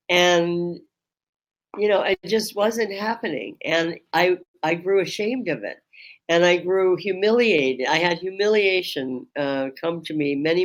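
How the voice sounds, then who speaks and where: A woman Reiki teacher hosting a webinar, with a strong voice that carries across a room. She talks in a slow, measured way and pauses often with ums. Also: on the low side. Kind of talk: reflective webinar talk. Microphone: webinar microphone.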